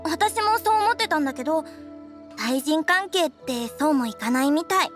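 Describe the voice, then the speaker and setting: A woman's voice: high-pitched, expressive, normal speed. A woman playing a friendly restaurant owner in an anime game, speaking in a familiar, confiding way. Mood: chatty, confiding. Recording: music playing behind the voice.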